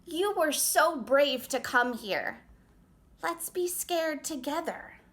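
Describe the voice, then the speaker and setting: A woman voicing an anxious, excitable female chipmunk in a picture book, earnest and emphatic. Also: high-pitched, slow pace, voice pushed up, exaggerated voice. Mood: pleading, earnest. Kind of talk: picture book read-aloud.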